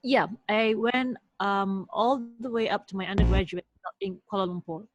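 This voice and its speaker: A woman from Malaysia, a law professor, answering interview questions in a soft, hesitant way with frequent restarts. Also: medium pitch, normal speed, no echo, poor audio.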